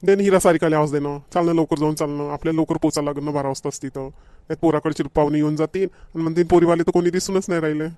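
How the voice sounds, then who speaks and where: Man acting a fussy, hurrying village father, higher-pitched. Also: fairly even intonation, racing, mellow, dry recording, lightly acted. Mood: impatient, hurried. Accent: rural Maharashtrian. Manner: nagging, repetitive urging. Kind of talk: homemade cartoon comedy dialogue.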